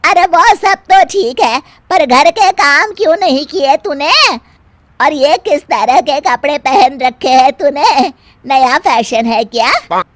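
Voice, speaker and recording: A woman voicing a scolding, sly elderly mother-in-law in an animated moral story, with a theatrical, nagging delivery. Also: high-pitched, takes it slowly, no echo. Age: older.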